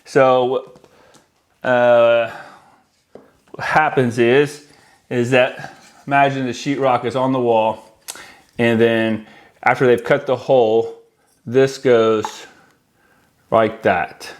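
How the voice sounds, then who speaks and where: A middle-aged American man talks to the camera in a casual, explanatory way. His voice sits at an ordinary male pitch, and he presents like a hands-on installer walking viewers through a piece of gear. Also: little pitch movement, slow, long pauses. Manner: conversational, explanatory, addresses viewers as guys. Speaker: audio-video installer presenting products. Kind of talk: casual product walkthrough to camera. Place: indoor room with decorated wall, at a worktable.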